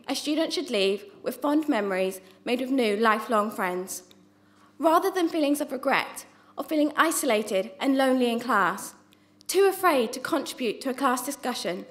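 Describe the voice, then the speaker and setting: A young woman gives a prepared student speech from her notes, speaking earnestly and stumbling now and then on a word before restarting. Her voice sits high. She speaks into a microphone on a stand in a university lecture theatre. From not from New Zealand. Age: young adult. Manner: earnest, with small restarts on words. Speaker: student presenter.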